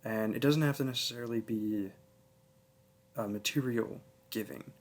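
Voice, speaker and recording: A soft-spoken man giving a casual sign-off to listeners, earnest and a little hesitant. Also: medium pitch, moderate pace. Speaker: audio roleplay creator.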